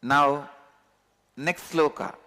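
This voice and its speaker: A man's voice: fairly high pitch, extremely slow, sharp tone. A man with a Tamil accent giving a spiritual discourse as a teacher, speaking earnestly and repeating key words for emphasis. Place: large satsang hall. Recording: long reverb of a large hall.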